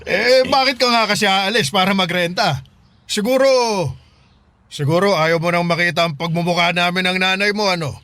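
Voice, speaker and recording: High, sharp bullying Filipino stepfather. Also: normal speed, no echo. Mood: taunting, suspicious. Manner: taunting, sneering, goading. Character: bullying, hot-tempered stepfather, threatening and taunting. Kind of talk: Filipino radio drama acting.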